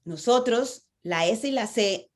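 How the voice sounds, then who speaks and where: A woman's voice: a little high, lively intonation, slow, a little mellow. Latin American woman coaching public speaking. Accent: Latin American Spanish. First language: Spanish. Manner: engaging, asks rhetorical questions, frequent "¿no?". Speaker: speaker on stage fright. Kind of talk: conversational talk with a guest.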